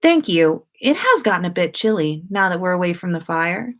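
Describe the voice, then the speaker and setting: A woman voicing a lively, coaxing young witch in a story reading, with a teasing, bossy manner. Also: lowish voice, normal speed. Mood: softer, grateful.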